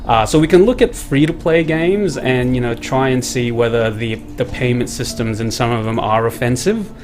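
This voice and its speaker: A man's voice: mid-range voice, normal speed, over music. Man hosting a game stream. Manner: filler-heavy, reads out viewer comments, steers the talk. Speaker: host of a weekly game show stream. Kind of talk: casual live-stream hosting.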